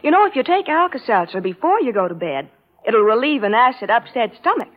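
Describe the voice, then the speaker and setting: A woman's voice: on the high side, very animated, fairly quick. A woman playing a doting, soothing wife in a vintage radio advertising sketch, sympathetic and reassuring. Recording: dull vintage radio broadcast recording.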